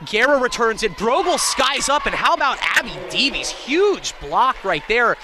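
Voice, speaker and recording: A man calling live college volleyball play-by-play in an excitable, self-deprecating way. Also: extremely high pitch, normal speed. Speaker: play-by-play sports commentator. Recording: clear gym noise, bouncing balls. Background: indoor volleyball match ambience.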